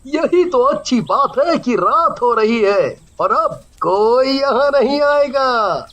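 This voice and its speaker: A man's voice: extremely high pitch, lively intonation, slow pace, a bit bright, exaggerated voice. A man voicing a leering, cruel village thug with a drawling, taunting delivery in a cartoon horror story.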